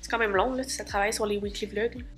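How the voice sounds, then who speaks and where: Young Québécoise vlogger, stuffy cold, rambling casual diary talk, faint music under. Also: female voice, mid-range voice, fairly even intonation, quick pace. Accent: Québécois French. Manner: chatty, many fillers like "fait que" and "tu sais". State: congested from a cold.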